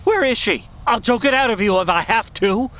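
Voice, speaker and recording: A woman's voice: fairly high pitch, lively intonation, brisk, fairly bright tone. A woman playing a frantic, desperate family man, stammering and pleading, in a comic radio drama. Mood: distraught, angry.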